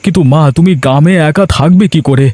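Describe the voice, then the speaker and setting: A man's voice: on the high side, quick pace, a little mellow, husky. A man voicing an earnest, devoted young village milk seller in a Bengali cartoon, speaking plainly. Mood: worried. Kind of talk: Bengali animated moral-tale voice acting. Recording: music bed behind the voice.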